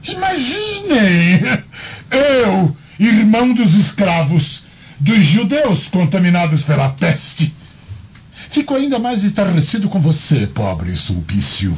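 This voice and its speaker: An actor playing a haughty, scornful Roman patrician in a radio drama, with pompous, theatrical delivery. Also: male voice, sits high, sing-song, slow, slightly rough, dull audio, exaggerated voice. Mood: indignant mockery.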